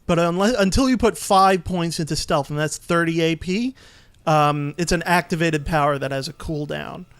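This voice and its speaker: A man giving casual, explanatory commentary while playing a video game, a bit halting as he goes. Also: fairly high pitch, fairly bright tone, smooth, no room sound. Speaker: let's play host and player.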